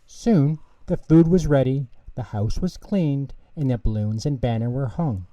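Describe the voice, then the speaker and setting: A man's voice: medium pitch, a little slow, mellow. A man reading a children's bedtime story as scripted narration, recorded in an echoey room at some distance from the mic.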